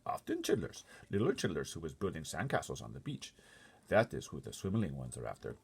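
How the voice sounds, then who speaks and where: Big kindly storybook giant played by a man: husky, emphatic, folksy, with mangled grammar and a swooping delivery. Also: rumbling low, huge pitch swings, fairly quick, darkish tone, heavily acted. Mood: earnest, reassuring. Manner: muddled grammar and made-up words, emphatic. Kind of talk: storybook character voice.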